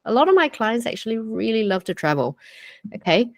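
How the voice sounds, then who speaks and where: A woman's voice: fairly high pitch, very animated, fairly quick, dry recording, clean studio sound. A woman in her forties from Singapore, a health coach giving a live online presentation on a video call in an earnest, chatty way, full of fillers and "okay?" check-ins. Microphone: computer mic on a video call.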